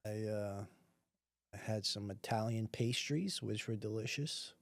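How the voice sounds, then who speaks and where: A man's voice: on the low side, a little slow, gravelly, no echo. A man guest on a podcast, introducing himself in a laid-back, wry and teasing way. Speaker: podcast guest, real estate investor. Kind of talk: casual podcast chat.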